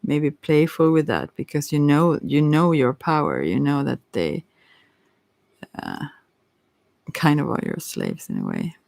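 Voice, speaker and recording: An ASMR tarot reader talks slowly with a creaky, gravelly edge. The delivery is hesitant and thoughtful, searching for words while improvising a card reading, recorded close and dry. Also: mid-range voice, big pitch swings, darkish tone, no echo. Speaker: tarot reader hosting a live session.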